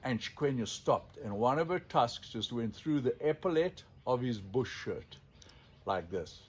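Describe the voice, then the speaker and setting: Male storyteller, mid-pitched voice. Unscripted and theatrical, leaning on dramatic pauses. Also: animated, slightly rough. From Zimbabwe. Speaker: storyteller, sculptor presenting his own elephant figures.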